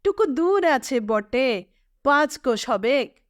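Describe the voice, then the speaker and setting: A woman storyteller plays a poor, weary woodcutter from a storybook, a humble middle-aged father. He talks slowly in plain rural Manbhum Bengali. The voice is smooth and clear, pitched as a woman's, in a dry recording. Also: big pitch swings, no room sound, full character voice. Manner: plain, humble, rustic dialect speech.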